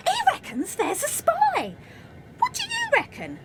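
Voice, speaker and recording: A woman's voice: extremely high pitch, huge pitch swings, a little slow, exaggerated voice. A woman playing a touchy, gossip-loving wartime factory worker in an amateur radio play, with a knowing manner. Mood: gossipy. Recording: clear background noise, clicks.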